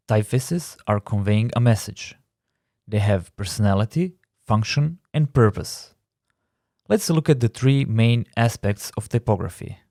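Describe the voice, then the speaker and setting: Croatian guy narrating a design tutorial, lowish voice, steady explainer tone, dry close mic. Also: no room sound. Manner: measured, explanatory, slightly non-native phrasing. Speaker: design instructor.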